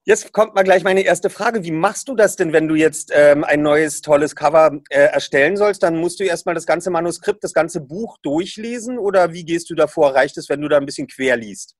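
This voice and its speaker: A man's voice: a little high, normal speed, few pauses. Eager male podcast host. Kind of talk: casual interview and book reading.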